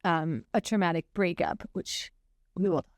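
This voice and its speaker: A woman's voice: on the low side, steady tone, moderate pace, dry recording, clean studio sound. A woman in her forties co-hosting a podcast, talking in an earnest, reflective way and laughing easily. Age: 40s. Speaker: podcast co-host. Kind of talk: casual conversation.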